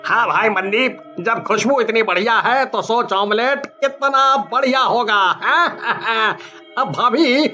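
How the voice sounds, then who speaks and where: A man voicing a hungry young customer who hums and smacks loudly while eating. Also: very high-pitched, takes it slowly, dull audio, faint music behind, heavily acted. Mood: eager. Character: greedy young man eating at a food stall.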